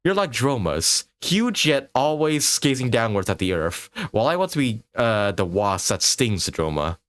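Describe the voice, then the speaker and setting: A man's voice: mid-range voice, big pitch swings, normal speed, a bit bright, dry recording. A man playing a pompous, argumentative male scholar, reading game text aloud dramatically. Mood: indignant.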